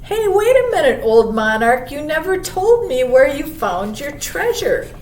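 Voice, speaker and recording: A woman's voice: big pitch swings, measured pace, darkish tone. A woman voicing an eager, curious young male caterpillar in a children's storybook. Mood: puzzled, eager. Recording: roomy, distant mic, faint rumbling noise.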